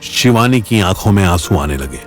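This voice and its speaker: A man narrates a dramatic audio story. His voice sits fairly low yet moves with lively swings of intonation, and music plays behind it. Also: normal speed.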